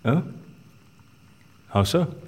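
An actor playing a curious, gently probing confidant with dry wit in an audio drama, attentive and conversational. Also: male voice, drawn-out words. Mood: curious, gentle.

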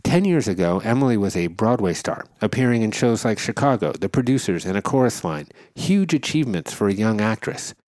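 A middle-aged man hosting a podcast interview, reading a scripted intro and then asking measured, earnest questions. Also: lowish voice, animated, a little mellow, no room sound, low-quality recording. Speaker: podcast host.

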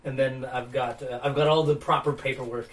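A man's voice: medium pitch, steady tone, brisk, far from the mic, slight background noise, rough recording. A Canadian man in his thirties, a comedian guest on a podcast, telling stories in a dry, wry way. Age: 30s. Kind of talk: casual storytelling chat.

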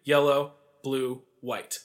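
A man's voice: medium pitch, flat delivery, takes it slowly. A man hosting a podcast, telling sports history in a casual, conversational way with wry asides. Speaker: podcast host. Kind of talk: casual storytelling about sports history, part scripted.

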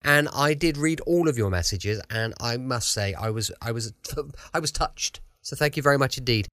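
A man's voice: medium pitch, lively intonation, moderate pace, a bit bright, no echo. An English man hosting a podcast with a chatty, rambling and effusive introduction. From United Kingdom. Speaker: podcast host.

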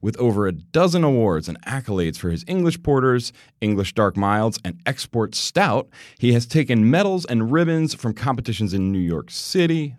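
A man's voice: mid-range voice, no room sound, clean studio sound. A man acting as a podcast interviewer and host, addressing listeners and his guest. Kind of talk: podcast interview with prepared introduction.

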